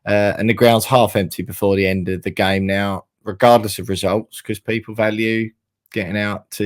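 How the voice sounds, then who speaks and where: Hoarse man, low voice. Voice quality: rambling, self-correcting, frequent "you know". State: hoarse, croaky voice. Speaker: podcast co-host. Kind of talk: casual football chat.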